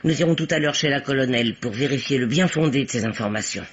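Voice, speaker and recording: An actress playing a bossy, witty, prying older spinster in a theatrical old radio mystery. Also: female voice, a bass voice, fast, husky. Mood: intrigued, sly. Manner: grand, theatrical, teasing. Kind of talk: old French radio play acting. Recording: dull, lo-fi old radio recording.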